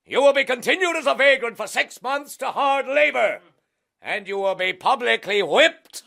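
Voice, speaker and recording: Severe, creaky judge. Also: male voice, lively intonation, normal speed, bright, forceful tone, heavily acted. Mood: solemn, severe. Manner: booming, solemn, outraged. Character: severe, pompous male judge passing sentence. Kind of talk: period radio courtroom drama.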